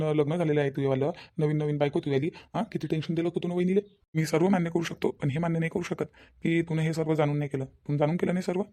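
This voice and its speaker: Man acting reproachful young cartoon man, Varhadi Marathi accent, rapid delivery, mellow tone, insistent questions. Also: a little high, close to an everyday voice. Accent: Varhadi Marathi (Vidarbha). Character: young man, scolding, principled best friend. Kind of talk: village cartoon dialogue.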